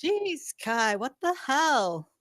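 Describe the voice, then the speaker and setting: A woman's voice: high voice, sing-song, slow pace, a bit bright, no echo, clean studio sound, full character voice. A woman playing a cheeky, giddy young woman in love at a screenplay table read. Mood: puzzled.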